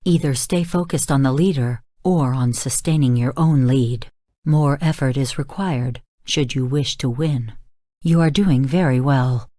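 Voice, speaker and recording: Low, dark-voiced AI combat coach. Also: female voice, a little slow, dry recording, studio quality. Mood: cool. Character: cool, exacting female AI combat coach, bluntly critical. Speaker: game announcer and coach. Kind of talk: scripted game voice lines.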